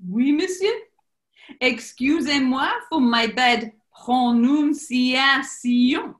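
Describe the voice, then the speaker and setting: A woman's voice: high-pitched, takes it slowly. A woman playing a formal, flamboyant French maitre d' with a French accent in a 1940s-style radio comedy. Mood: formal, obliging.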